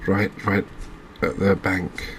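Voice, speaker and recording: Low, even-toned man narrating quiet gameplay. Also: slight background noise. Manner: unhurried, thinking aloud with trailing pauses. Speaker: gaming video host. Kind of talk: unscripted, quiet gameplay commentary in a cozy ASMR vein.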